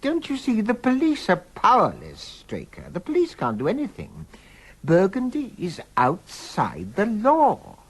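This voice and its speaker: A harried English government official in a radio comedy. His voice is rather high and husky, swooping up and down as he fusses through officious bureaucratic phrases. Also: huge pitch swings, unhurried, heavily acted, low-quality recording. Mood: exasperated. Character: harried, fussy Home Office civil servant. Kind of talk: British comedy audio drama acting.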